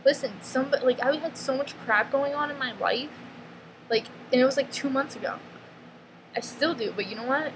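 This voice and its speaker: A woman with a New York accent, talking in a blunt, emphatic confessional vlog, halting and restarting often and filling her speech with "freaking" and "like.". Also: sits high. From northeastern US, near New York. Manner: halting, restarts mid-sentence. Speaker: vlogger. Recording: noticeable background ambience noise.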